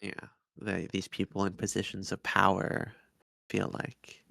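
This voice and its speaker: A man's voice: low-pitched, monotone, a little slow, vocal fry, sharp tone, no echo. A man co-hosting a podcast in casual conversation, hesitant and often searching for words or trailing off. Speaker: podcast co-host. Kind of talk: casual review discussion of an audio drama.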